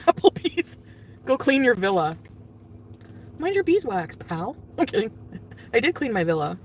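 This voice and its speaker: Vlogger, woman, rambling and laughing, off-mic with faint noise behind. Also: a little high. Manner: rambling, lots of fillers, laughs often. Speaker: vlogger in the clip being reacted to. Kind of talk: casual vlog and live-stream chat. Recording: distant, noisy clip audio.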